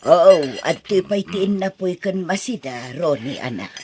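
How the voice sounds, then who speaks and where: A woman's voice: fairly low pitch, lively intonation, measured pace. An actress playing a kindly, talkative elderly mother with an Ilocano accent in a radio drama. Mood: contented, chatty. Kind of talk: Filipino radio drama acting.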